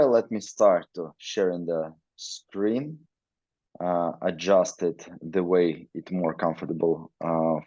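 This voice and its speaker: A man from Ukraine giving a webinar talk in halting English, with frequent fillers. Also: sits low, a little mellow, no echo, dull audio. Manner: halting non-native English, many fillers. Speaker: guest presenter, weightlifting researcher. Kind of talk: webinar presentation. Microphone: video call.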